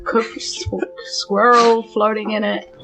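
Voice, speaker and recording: A woman's voice: medium pitch, level tone, takes it slowly, music in the background, rough recording. A woman, casually hosting a tabletop game podcast as game master. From New Zealand.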